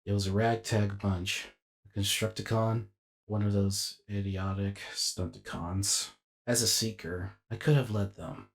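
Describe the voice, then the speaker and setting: A man playing a weary, reflective alien robot warrior in a dramatic inner monologue, speaking deliberately and formally. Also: lowish voice, steady tone, vocal fry, a little hiss or hum. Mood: regretful, conflicted.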